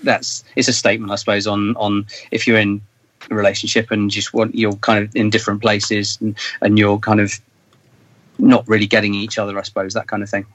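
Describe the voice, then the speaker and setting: A man's voice: fairly low pitch, monotone, a little fast, fairly bright tone. A British man chatting casually as a band member in an interview, rambling and thinking aloud as he goes.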